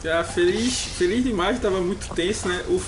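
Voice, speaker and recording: A man's voice: high voice, moderate pace, crowd noise. A Brazilian man co-hosting a sports podcast in casual chat, often hesitating and restarting his sentences. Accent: Brazilian Portuguese. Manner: hesitant, many restarts and fillers. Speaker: podcast co-host.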